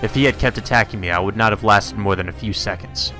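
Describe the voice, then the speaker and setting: Low-voiced man reading a story aloud. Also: fast, music in the background. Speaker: let's play host reading visual novel. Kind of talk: dramatic read-aloud narration of a visual novel.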